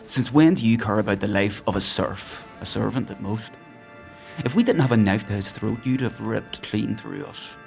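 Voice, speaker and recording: Creepy calm villain healer, low croaky voice, measured threats, audio drama with faint music. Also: male voice. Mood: cold, taunting. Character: menacing male paragon healer, coldly controlled interrogator.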